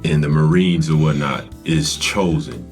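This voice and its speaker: A man's voice: very deep voice, a little slow, faint music behind. A man hosting a casual interview, asking questions and reacting with enthusiastic affirmations. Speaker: host.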